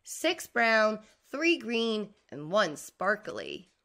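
A woman's voice: mid-range voice, wildly swooping pitch, very slow, fairly bright tone, heavily acted. A woman reading the part of a helpful young brother in a storybook, counting out items carefully. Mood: eager. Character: helpful young brother offering his collected treasures.

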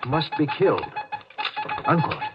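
Deferential young-rank ship's officer, lively pitch. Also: male voice, unhurried. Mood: dutiful, tense. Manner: deferential, formal. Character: dutiful male junior ship's officer relaying messages. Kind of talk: 1950s radio drama acting. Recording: dull 1950s radio recording, music behind.

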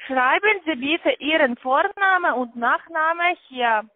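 A woman with a foreign accent in German, giving insistent, halting, repetitive step-by-step instructions as a call centre agent on a phone call. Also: sits high, lively intonation, dry recording. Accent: foreign, non-native German. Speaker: call centre agent selling a trading account. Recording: tinny band-limited phone line.

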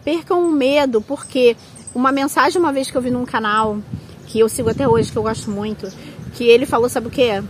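A Brazilian woman vlogger with a high voice speaks in a casual, earnest way. She sounds encouraging, like someone addressing her followers directly. There is noticeable ambient noise around her. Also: fairly even intonation, brisk. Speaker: vlog co-host. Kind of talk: casual vlog talk and narration.

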